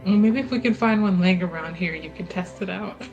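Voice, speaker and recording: A woman's voice: on the low side, brisk, muffled recording, loud music behind the voice. A woman player in a tabletop game, talking casually with a dry, joking manner.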